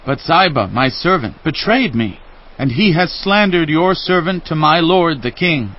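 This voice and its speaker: High-voiced, humble wronged servant. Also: male voice, animated, a little slow, highs cut off. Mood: plaintive. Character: humble, wronged lame man, loyal servant. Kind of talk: dramatized audio Bible acting.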